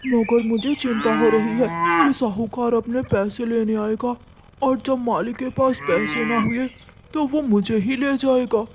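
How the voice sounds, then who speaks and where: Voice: mid-range voice, unhurried, a crowd in the background, voice pushed down, lightly acted. A performer voicing a worried, sorrowful male bull in a cartoon, with an anxious, mournful manner.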